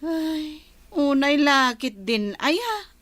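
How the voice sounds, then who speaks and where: Woman acting a slow, high-voiced Ilocano elder sister. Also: big pitch swings, a bit bright, dry recording, full character voice. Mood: resigned reproach. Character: elder sister, deliberate, thrifty and long-suffering. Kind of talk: Ilocano radio-style drama acting.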